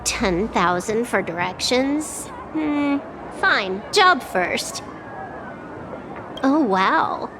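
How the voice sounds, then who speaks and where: A woman's voice: a little high, expressive, very slow, noisy background, heavily acted. An actress playing a cool, dryly teasing young woman hacker in a video game. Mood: dry, smug. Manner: nonchalant, dry, sly. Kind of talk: video game character acting.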